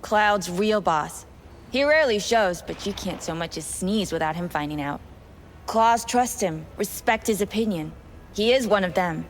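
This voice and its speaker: A woman's voice: mid-range voice, lively intonation, moderate pace, a little hiss or hum, natural voice. Sardonic, jaded woman schemer in a game, acted. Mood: scornful, businesslike. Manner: sardonic, dismissive. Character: jaded, scornful woman plotting a gang takeover. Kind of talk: video game voice acting.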